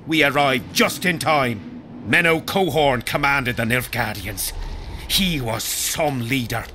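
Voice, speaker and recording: Blunt, battle-hardened medieval soldier. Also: male voice, mid-range voice, slow pace, sharp tone, slight background noise. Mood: reflective. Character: rough, battle-hardened medieval army veteran, proud and blunt. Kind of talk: video game dialogue acting.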